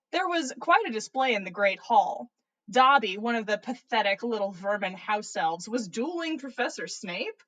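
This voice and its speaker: A woman with a fairly high voice hosts a story reading. She delivers comedic fan fiction playfully, with amused, theatrical expression. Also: fairly bright tone, poor audio. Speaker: podcast host reading listener stories.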